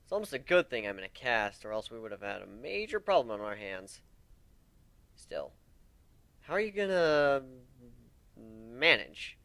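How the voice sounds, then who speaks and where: A man's voice: medium pitch, big pitch swings, measured pace, vocal fry, pushed and bright, dry recording, exaggerated voice. A man voicing a wounded male griffin captain in a story, wry, plaintive and emphatic, blaming himself yet devoted. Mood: exasperated.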